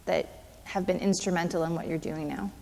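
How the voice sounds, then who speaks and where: A woman's voice: on the low side, fairly even intonation, brisk, vocal fry, darkish tone. A woman interviewer asking direct, brief questions. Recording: reverberant hall with faint noise.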